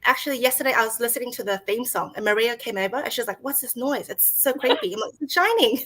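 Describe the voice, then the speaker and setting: A woman's voice: fairly high pitch, expressive, quick pace, bright, forceful tone. A woman in her thirties, a screenwriter guest on a video call, chatting casually, laughing often and acting out what people said. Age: 30s. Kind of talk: casual interview chat.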